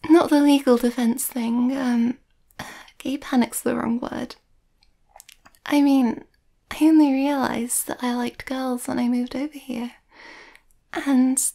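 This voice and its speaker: A sweet, overthinking werewolf girl acted by a woman in an intimate ASMR roleplay. Her voice is high and soft-edged, drifting near a whisper. She speaks slowly and hesitantly, shy and a little nervous. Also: exaggerated voice. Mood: flustered, apologetic.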